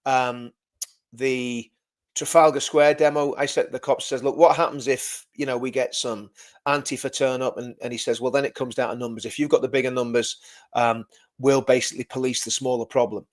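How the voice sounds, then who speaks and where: Loud rambling man hosting a livestream. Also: mid-range voice, steady tone, a little fast. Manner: rambling, repeats himself, self-corrects. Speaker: livestream host. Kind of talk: casual livestream talk to viewers.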